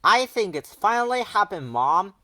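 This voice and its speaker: A man's voice: high voice, very animated, normal speed, sharp tone, no room sound, studio quality, voice pushed up, heavily acted. A man voicing a messy, unbothered young boy from a cartoon storybook, plain-spoken and droll. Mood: exclaiming.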